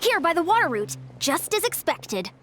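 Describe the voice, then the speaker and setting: Casting: sporty young female fighter for a game, high and very expressive, coaching energy, noisy background. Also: normal speed, exaggerated voice. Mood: competitive, fired up. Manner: peppy, coaching, competitive. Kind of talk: video game voice acting. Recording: clear game battle noise behind.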